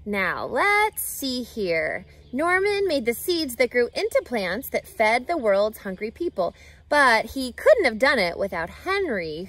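A woman in her thirties reading a children's book aloud outdoors, with an expressive, teacherly delivery that emphasises key words. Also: a little high. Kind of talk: animated children's picture-book read-aloud. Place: outdoors, grassy lakeside yard. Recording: outdoor ambient background noise.

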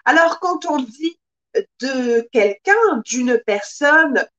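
A woman in her thirties teaching a live-streamed French lesson, speaking carefully and expressively, recorded by a webcam in a small room. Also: high voice. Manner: slow, careful, expressive teacher with lots of hand gestures. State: occasional coughing from pollen allergies.

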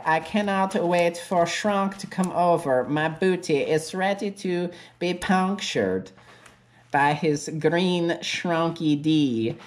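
A woman voicing an eager, lovesick gray donkey in a stilted, over-polite, drawn-out manner. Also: low voice. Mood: longing.

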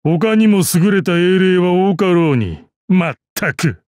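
An actor playing a proud, battle-hardened old martial arts master in a game, with gruff dignity, dry amusement and archaic old-man speech. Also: sits high, lively intonation, measured pace, a little mellow, no echo. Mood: wry, fond. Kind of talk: Japanese mobile game character voice lines.

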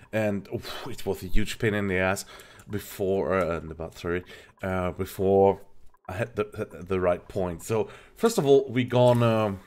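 A man with a German accent, a native German speaker, hesitantly explaining a game in a casual tutorial, searching for words. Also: medium pitch. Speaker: game streamer, tutorial host. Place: indoor home streaming setup.

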